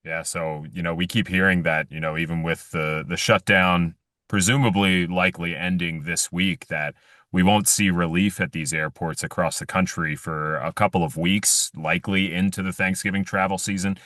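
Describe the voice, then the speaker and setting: Male news interviewer, low but crisp bright voice, kinda roundabout questions, dry close sound. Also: fairly even intonation, normal speed, dry recording. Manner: hedging, roundabout questions padded with "you know". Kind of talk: conversational broadcast interviewing.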